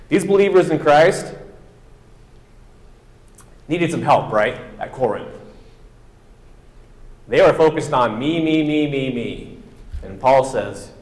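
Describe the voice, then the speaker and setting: A man preaching a sermon with emphatic delivery, acting out quoted voices as he goes. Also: medium pitch. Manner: emphatic, acts out quoted voices, rising to near-shouting. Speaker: pastor. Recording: echoey hall with long reverb.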